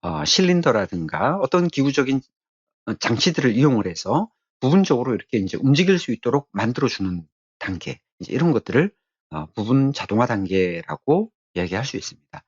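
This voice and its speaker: A man giving an explanatory technical lecture as an instructor, speaking haltingly and repeating his key phrases. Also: medium pitch, big pitch swings, slightly rough, dry recording, muffled recording.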